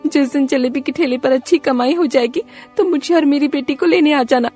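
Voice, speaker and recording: Fed-up wife for a moral cartoon, female actor: high, angry, commanding, scolding. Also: fairly quick, soft background music, natural voice. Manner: sharp, commanding.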